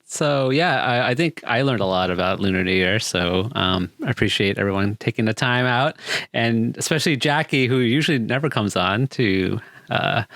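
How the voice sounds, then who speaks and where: A man's voice: medium pitch, fairly bright tone, no room sound. A man hosting a podcast, talking thoughtfully with lots of ums and restarts. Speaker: podcast host. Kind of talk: casual podcast chat.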